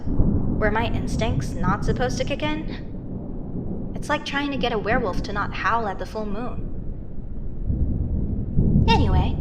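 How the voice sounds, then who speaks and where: Steady rain noise surrounds a young female werecat, played by a woman, who speaks in a high voice at an unhurried, slow pace. She is friendly and teasing, muttering to herself with expressive little stammers, as in a comedic fantasy audio roleplay. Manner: expressive, self-talking, with hesitant stammers and murmurs. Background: rain ambience.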